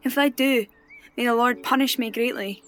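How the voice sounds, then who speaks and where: An actress playing a devoted, resolute young widow pleading loyally in an audio drama. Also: female voice, a little high, fairly even intonation, moderate pace, a bit bright, lightly acted. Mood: impassioned, resolute. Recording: noisy track with birdsong behind. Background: birdsong.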